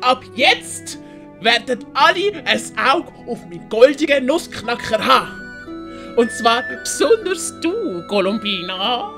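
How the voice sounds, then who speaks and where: A woman acts out a doting miser from an audiobook comedy with a Swiss German accent. The voice is high and slow, cooing one moment and commanding the next, over faint music. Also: very animated, exaggerated voice. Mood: bossy. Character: doting, bossy, miserly master obsessed with his treasure.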